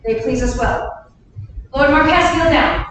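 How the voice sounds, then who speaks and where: A woman reading as a gentle young king, courteous and gracious, in a staged Shakespeare reading. The voice sits high and is heard from across an echoing room. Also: unhurried, a little hiss or hum. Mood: regal. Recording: echoey room, mic far off.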